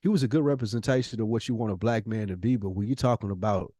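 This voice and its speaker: American guy on a podcast, lowish voice, slangy and opinionated, real casual, dry close sound. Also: fast talker, mellow, no echo. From United States. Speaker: podcast co-host. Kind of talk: casual conversational podcast chat.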